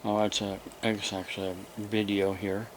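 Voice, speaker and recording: An American man hosting an informal, unscripted hobby vlog, talking in a halting, rambling way with long pauses. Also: lowish voice, little pitch movement, slight background noise, low-quality recording. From United States. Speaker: YouTube radio hobby host.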